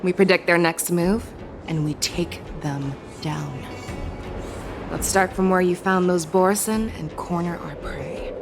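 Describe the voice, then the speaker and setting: A woman voicing a shrewd, commanding general in a video game, measured, confident and instructive. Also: on the low side, music in the background. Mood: calculating, resolute. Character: shrewd, commanding female general and seasoned hunter.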